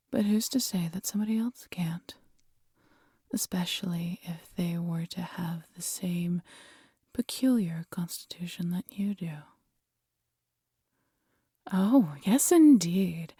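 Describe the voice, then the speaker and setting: A woman playing a proud, vengeful demon queen in an audio roleplay, theatrical and menacing, often dropping to a breathy hush. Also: lowish voice, no room sound, clean studio sound, heavily acted. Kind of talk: scripted audio roleplay addressed to listener.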